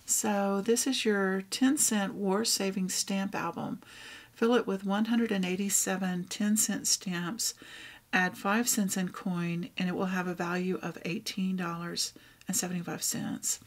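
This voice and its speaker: A woman with a Texan accent, showing and reading out old thrift finds in a casual, gentle way. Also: mid-range voice, fairly even intonation, a little slow. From Texas. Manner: reads old items aloud, softly remarking on them. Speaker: vlogger. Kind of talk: casual thrift haul show-and-tell.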